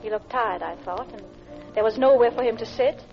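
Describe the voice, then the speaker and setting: An actress playing an earnest, polite, middle-class English wife in an old-time radio drama, with a genteel, hesitant manner. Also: female voice, fairly high pitch, a little fast, natural voice. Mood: wistful, confessional. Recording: muffled vintage radio recording with music.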